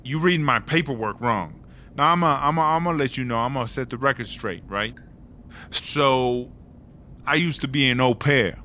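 A man's voice: medium pitch, moderate pace, pushed and bright, a little hiss or hum, natural voice. A man playing a brash, streetwise woman prisoner in a comedic audio drama, rambling indignantly in slang. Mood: animated storytelling. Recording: hip hop music behind the voice.

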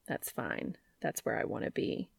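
A woman voicing a bossy, demanding male lover in an audiobook romance, speaking softly and tersely. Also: moderate pace, no echo, clean studio sound, close to an everyday voice. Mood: content. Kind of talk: audiobook character voice.

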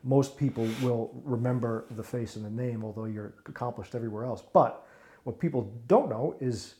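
A man's voice: mid-range voice, dark tone. Friendly male interviewer prompting a guest. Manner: friendly, prompting, quick affirmations. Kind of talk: casual interview chat.

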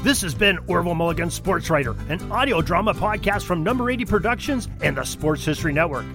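A man's voice: sits high, expressive, quick pace, music in the background. A man reading scripted podcast credits as an announcer.

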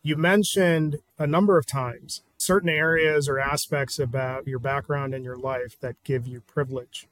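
A man's voice: medium pitch, steady tone, normal speed, few pauses, fairly bright tone, dry recording. A man hosting a podcast, asking a guest questions in a friendly, easygoing, chatty way. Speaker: podcast host. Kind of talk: interviewing a guest.

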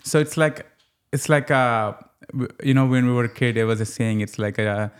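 A man's voice: mid-range voice, moderate pace, smooth. A man from South India with an Indian accent, a cardiologist chatting casually as a podcast guest. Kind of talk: casual conversational storytelling.

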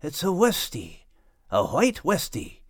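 A man voicing a brave, adventurous dog character with a Scottish accent and an animated delivery. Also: fairly high pitch, slow pace, no room sound, studio quality, full character voice. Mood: intent.

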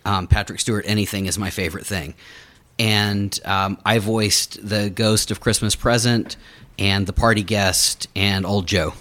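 A man's voice: lowish voice, steady tone, a little slow, fairly bright tone. A man introducing himself casually as a regular radio guest.

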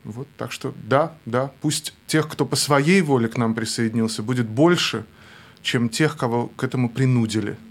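A young adult man, a film director, talking reflectively as a radio guest, measured with small restarts. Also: mid-range voice. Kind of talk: thoughtful interview talk.

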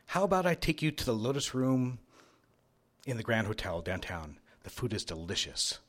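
An actor playing a smooth, patronizing boss who flirts with his secretary, authoritative and teasing, in a live radio drama. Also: mid-range voice, sing-song, normal speed. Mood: smooth, inviting. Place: theater stage, live show.

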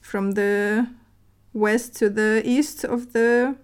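A woman's voice: mid-range voice, steady tone, slow pace, clean tone. A young woman from northern Russia on a podcast, chatting casually from her home studio with lots of filler words and restarts. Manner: rambling, many "like" fillers and restarts, laughs often. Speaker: podcast co-host. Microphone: floor mic stand.

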